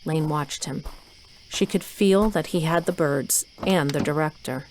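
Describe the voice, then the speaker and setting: A woman's voice: low-pitched, a little slow. A woman reading scripted story narration for an audio drama. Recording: noisy ambient sound effects.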